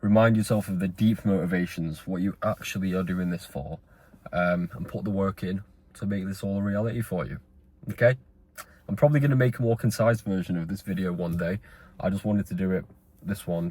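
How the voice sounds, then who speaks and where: A man's voice: low voice, darkish tone, husky. A man, talking straight to camera in a rambling, earnest motivational monologue. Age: 20s. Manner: rambling, earnest, with fillers and restarts. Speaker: vlogger.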